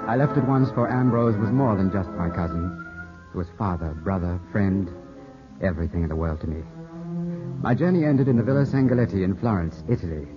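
A man's voice: lowish voice, brisk, over music, close to an everyday voice. An actor playing a grieving, suspicious young Englishman in his twenties, clipped and intense, in 1950s radio drama style. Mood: sombre, grieving. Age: 20s. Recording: muffled, scratchy 1950s radio broadcast.

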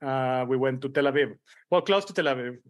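A man's voice: mid-range voice, brisk. A man in his forties from Mexico and Israel with a Spanish accent, talking casually as a podcast guest. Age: 40s. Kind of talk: casual interview conversation.

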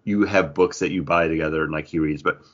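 A man's voice: extremely low pitch, fairly even intonation, fast, muffled recording. A man hosting a podcast, talking casually and enthusiastically about pop culture in a rambling, conversational way. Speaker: podcast host.

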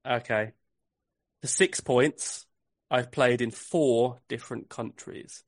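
Male podcast host running a quiz, measured clue reading, dry close sound. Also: mid-range voice, no echo. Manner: reads clues slowly and repeats them.

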